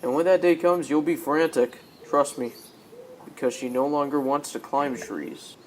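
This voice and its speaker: A young man playing an easygoing, teasing husband and father in a high school radio drama. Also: fairly even intonation, normal speed, background noise, lightly acted. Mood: wry.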